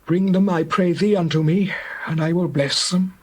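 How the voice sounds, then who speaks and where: A frail old Hebrew father near death, played by a man in an audio scripture drama. His voice is raspy and sits fairly high. He speaks gravely and deliberately, with solemn tenderness. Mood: tender blessing. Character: aged, dying Hebrew patriarch, solemn and tender.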